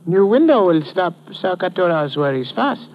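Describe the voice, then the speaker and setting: A man playing a mild, fussy Japanese gentleman in an old radio drama, talking politely and haltingly. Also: high-pitched, sing-song, a little hiss or hum. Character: mild, fussy, hard-of-hearing Japanese man, calmly concerned with money. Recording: muffled vintage radio recording.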